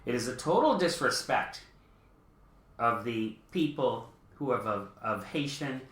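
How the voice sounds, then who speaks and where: A man's voice: mid-range voice, animated, measured pace, a bit bright. A man giving his opinions as a talk show panelist, blunt, insistent and argumentative in political discussion. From the Bahamas, Canadian Bahamian. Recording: echoey room.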